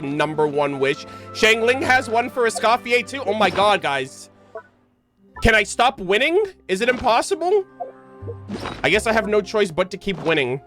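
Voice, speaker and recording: Guy streaming a game, highish voice, excited casual rambling, music in the background. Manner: enthusiastic, gushing. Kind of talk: casual livestream commentary.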